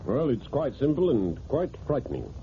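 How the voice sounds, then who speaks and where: An actor playing a precise, self-assured wealthy broker in an old radio drama, speaking formally and deliberately. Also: male voice, mid-range voice, huge pitch swings. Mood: grave, dramatic. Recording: tinny, noisy old radio recording.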